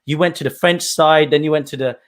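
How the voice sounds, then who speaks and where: A male podcast host with a slightly high voice who talks in a friendly, chatty way. He laughs a lot and often stumbles and restarts mid-sentence as he asks his questions. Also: steady tone, fairly quick. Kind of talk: casual interview chat.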